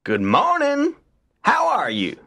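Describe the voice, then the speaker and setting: A man voicing an excitable, friendly male rabbit in a storybook, with a strained voice and exaggerated, drawn-out exclamations. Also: very high-pitched, gravelly, voice pushed up, heavily acted. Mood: cheery, curious. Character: friendly male rabbit, excitable, indignant, pleading when in trouble. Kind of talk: storybook character voice.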